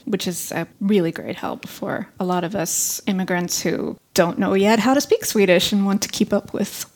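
A woman hosting a podcast in casual chat, telling stories and laughing often. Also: medium pitch. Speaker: podcast host.

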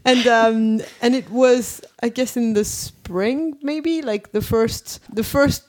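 A woman's voice: a little high, steady tone, takes it slowly, darkish tone. A woman guest in a casual interview, telling stories with lots of fillers like "like" and "um" and often laughing. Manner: anecdotal, with frequent fillers and laughs.

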